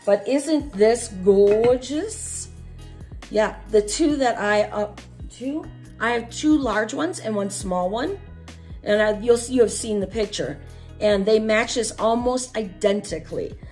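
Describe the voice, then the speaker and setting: A woman's voice: on the high side, animated, slow pace, a little mellow. A middle-aged American woman chatting casually as she hosts an unboxing vlog, her voice affected by a chest cold. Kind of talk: casual product review chat. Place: small room at home, at a table. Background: music.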